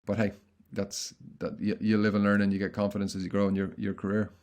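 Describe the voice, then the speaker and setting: Middle-aged male guest, rapid delivery, somewhat low voice, loose restarts. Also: level tone, a little mellow. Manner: quick, chatty, frequent restarts. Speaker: guest, content marketing consultant. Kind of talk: casual interview answers.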